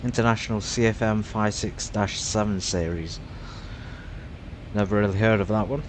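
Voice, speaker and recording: Male sim streamer, low-voiced, thinks out loud and chats casually; faint background hum. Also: steady tone, normal speed. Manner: chatty, musing aloud with frequent fillers. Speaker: flight simulator streamer. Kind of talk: casual live-stream commentary.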